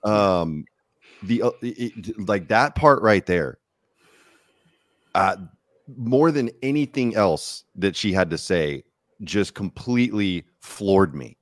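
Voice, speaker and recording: A man's voice: on the low side, animated, slow pace, no room sound, clean studio sound. A man hosting a livestream panel, backing his guest up with frequent short agreements. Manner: supportive, frequent brief agreement. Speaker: host.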